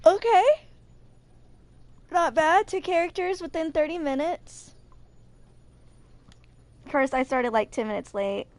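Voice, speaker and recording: A young woman with a fairly high-pitched voice gives casual video game commentary. She talks like a streamer at home with her game and trips over the odd word when reading aloud. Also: measured pace, pauses often, darkish tone, no echo. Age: 20s.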